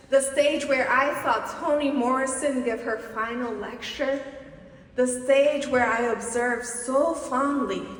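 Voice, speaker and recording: A woman from the Dominican Republic giving a commencement keynote, speaking slowly and deliberately with emphatic pauses. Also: high-pitched, fairly bright tone, slight background noise. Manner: slow, deliberate, rhetorical speech with emphatic pauses. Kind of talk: commencement speech. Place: large auditorium stage. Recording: distant, echoey hall with long reverb.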